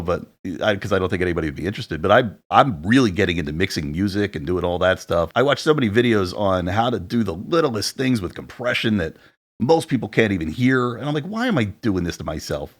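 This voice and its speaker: A man in his forties with a deep voice, co-hosting a podcast and chatting casually from his home setup, rambling a little. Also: animated, brisk. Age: 40s. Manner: chatty, rambling, self-deprecating about sound gear. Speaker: podcast co-host. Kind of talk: casual chat. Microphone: studio dynamic mic at home.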